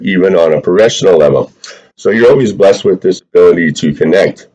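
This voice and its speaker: A man's voice: sits low, a little mellow, low-quality recording. A man giving a casual, chatty tarot reading straight to his viewers, with lots of fillers and the odd swear word. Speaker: tarot reader.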